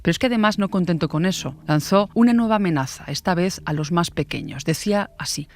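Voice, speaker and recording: A woman's voice: fairly low pitch, fast, slight background noise. A woman hosting a true-crime podcast with scripted narration. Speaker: podcast host, journalist.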